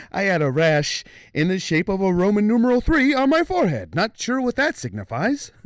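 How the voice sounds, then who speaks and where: A man playing a rattled, nerdy researcher of mysterious creatures, halting and stammering, in an audio drama field transmission. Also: high-pitched, dry recording, studio quality. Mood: weary, nervously amused. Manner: halting, stammering, nervous chuckles.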